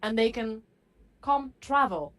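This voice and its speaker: A woman giving her views as a guest in an academic panel discussion, halting often as she goes. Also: on the high side, expressive, a bit bright. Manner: halting, frequent hesitations.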